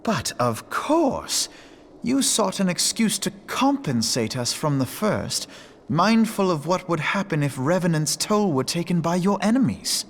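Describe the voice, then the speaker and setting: An actor playing an earnest, sharp-witted young noble scholar who talks precisely and pointedly. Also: male voice, sits high, measured pace, slight background noise, heavily acted. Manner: precise, pointed, lively questioning.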